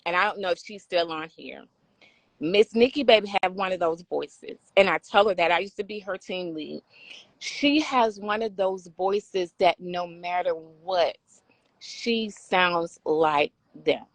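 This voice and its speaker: A woman with a raspy voice hosting a casual talk discussion, often affirming her guest. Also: fairly low pitch, measured pace, no echo, rough recording. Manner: affirming, frequent agreement sounds. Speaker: talk show host.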